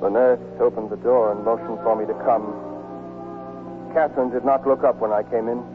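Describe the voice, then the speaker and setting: A grieving, devoted man played by an actor in a 1940s radio play. His mid-pitched voice comes through a tinny, narrow band with music behind it. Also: steady tone, fairly quick, close to an everyday voice. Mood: somber. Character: grieving man recounting his lover's death, desperate, devoted. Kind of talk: 1940s radio drama narration and acting.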